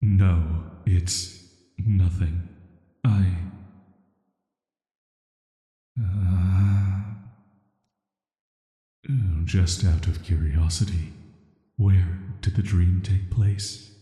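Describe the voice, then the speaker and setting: A man plays a banished villain who visits dreams, very dark and velvety in tone and very low. He speaks softly and slowly, with a flat, measured hesitancy that is flirty yet dangerous. Long reverb trails every word in this roleplay audio drama. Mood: hesitant, guarded, then probing, uneasy. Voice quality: soft, hushed, atmospheric. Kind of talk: scripted roleplay sleep-aid audio drama.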